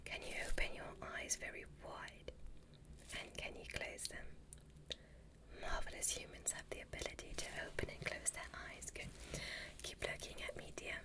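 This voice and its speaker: A woman voicing a gentle, curious alien space doctor in a soothing, breathy ASMR whisper, deliberate and attentive like a medical exam roleplay. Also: close to an everyday voice. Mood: welcoming, reassuring. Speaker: ASMR performer.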